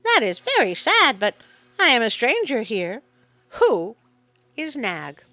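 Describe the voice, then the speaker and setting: A woman's voice: on the high side, huge pitch swings, takes it slowly, sharp tone, no room sound, pitched above the performer's own voice, exaggerated voice. A woman voicing a small, curious, self-assured young male mongoose in a storybook. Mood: inquisitive.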